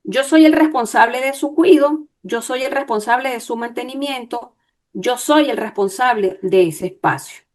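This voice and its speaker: A woman lawyer giving an online workshop, explaining in a measured way and checking in with her listeners. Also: fairly high pitch, darkish tone.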